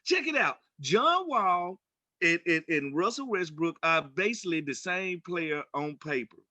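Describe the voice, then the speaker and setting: A man's voice: high-pitched, animated, unhurried, pushed and bright, dry recording. A man co-hosting casual sports talk, speaking in a punchy, colloquial, emphatic way. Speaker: sports show co-host.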